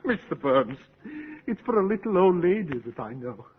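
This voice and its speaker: A man's voice: high-pitched, sing-song, moderate pace. A man playing a pompous, theatrical, grandiloquent moocher in a 1940s radio comedy, on a tinny vintage recording. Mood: pleading. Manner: theatrical, grandiloquent, orotund phrasing. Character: pompous, florid, flattering pool-hall moocher, ham gentleman.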